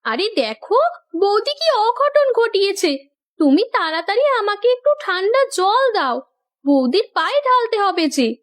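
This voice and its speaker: A woman playing a gentle, dutiful young village wife and daughter in a cartoon. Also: very high-pitched, big pitch swings, moderate pace, heavily acted. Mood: concerned. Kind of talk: cartoon voice acting.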